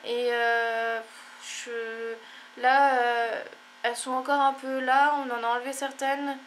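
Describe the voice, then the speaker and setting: Young female vlogger, congested and tired, meandering delivery, flat intonation. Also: a little high, takes it slowly, slight background noise. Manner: rambling, many fillers and apologies. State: stuffy, congested. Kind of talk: casual chat to camera.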